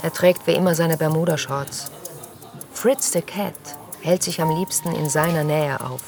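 Dry, creaky-voiced youth worker. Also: female voice, deep voice, measured pace, faint music behind. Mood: wry. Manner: dry, deadpan. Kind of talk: first-person radio drama narration.

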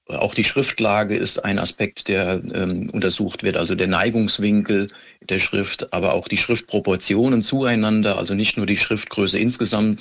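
A middle-aged man answering interview questions as a police expert, explaining in a methodical, precise and measured way. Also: fairly low pitch, gravelly.

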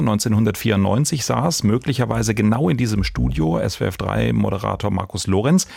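A man's voice: fairly low pitch, gravelly, a little mellow, husky, no echo. A man hosting a podcast in a conversational, wry way with formal address, recorded in a studio. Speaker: podcast host. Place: radio studio.

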